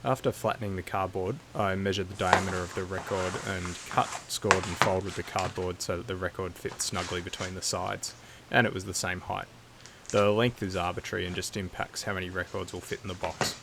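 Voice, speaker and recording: A man narrating a scripted how-to video in a measured, matter-of-fact way. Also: low-pitched, little pitch movement, gravelly, heavy background noise.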